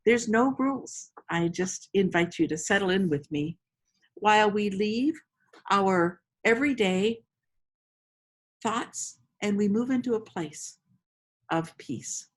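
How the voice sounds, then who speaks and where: Slow, unhurried woman guiding a meditation. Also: medium pitch, expressive. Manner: slow, unhurried, inviting, with long pauses. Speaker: guided meditation host. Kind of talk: guided meditation, gentle storytelling. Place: outdoors among roses.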